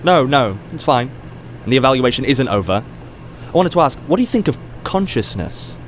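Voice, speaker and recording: A man acts out an inquisitive ethics professor with a medium-pitched voice. He is conversational and probing, feeling his way through his questions. It sounds like an audio drama, with faint city ambience behind him. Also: very animated, normal speed, a little hiss or hum, lightly acted. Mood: fascinated, thoughtful. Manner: conversational, probing, hesitant restarts.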